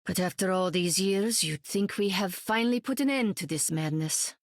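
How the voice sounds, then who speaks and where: An actress playing an earnest, somber superheroine in a video game. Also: female voice, mid-range voice, normal speed, no room sound, clean studio sound, close to an everyday voice. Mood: wistful.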